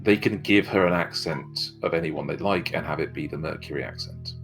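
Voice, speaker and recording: A very deep-voiced man from England chats on a podcast, dropping wry, sarcastic remarks. Light music sits faintly under his voice. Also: fairly quick, creaky, fairly bright tone. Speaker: podcast co-host. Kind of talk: casual chat.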